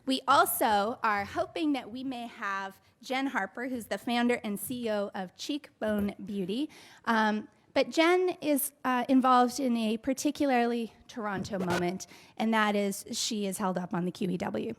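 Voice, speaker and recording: A woman's voice: fairly high pitch, normal speed. A woman hosting a conference, introducing the panel in an upbeat, formal way. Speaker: event host. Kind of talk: stage introductions. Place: conference stage.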